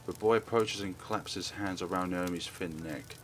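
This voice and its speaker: A man's voice: low voice, fairly even intonation, moderate pace, fairly bright tone, slight background noise. A man reading a visual novel aloud in a dramatic narrating style as a let's play host. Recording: music playing behind. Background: game music.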